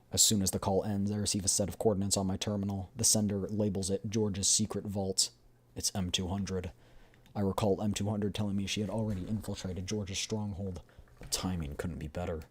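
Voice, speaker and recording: A woman's voice: very deep voice, steady tone, a little fast, breathy edge. A woman streamer reading a game story aloud as scripted narration. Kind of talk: scripted narration with voiced readthrough.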